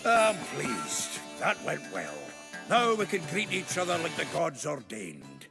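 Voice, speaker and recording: An actor voicing a feisty, foul-mouthed dwarf warrior in a fantasy video game, hearty and blustering. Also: male voice, fairly high pitch, huge pitch swings, measured pace, creaky, over music, full character voice. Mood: hearty, jovial.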